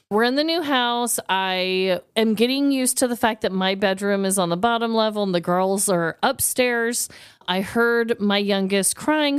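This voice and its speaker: A woman podcast co-host telling stories casually, expressive and candid, swearing freely. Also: medium pitch, smooth, no echo, studio quality. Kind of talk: casual conversational storytelling.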